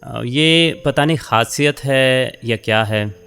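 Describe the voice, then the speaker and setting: An actor playing a warm, talkative middle-aged senior editor in a radio drama, encouraging and conversational, mixing in English phrases. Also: male voice, mid-range voice, slow pace, clean tone. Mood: fond, admiring.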